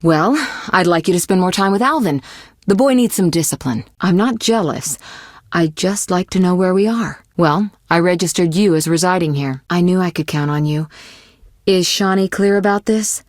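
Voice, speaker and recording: A woman playing a poised, worldly young sorceress in a video game, caring but teasing and possessive, with measured, articulate delivery. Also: on the low side, dry recording, clean studio sound, natural voice. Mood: composed, gently chiding. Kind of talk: scripted video game voice acting.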